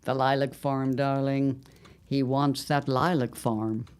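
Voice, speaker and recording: A woman's voice: extremely low pitch, steady tone, slow pace, darkish tone, clear, resonant tone. A woman playing a shrewd, scheming mother of a grown son in an audio drama, with a grand, theatrical, drawling delivery. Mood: imperious.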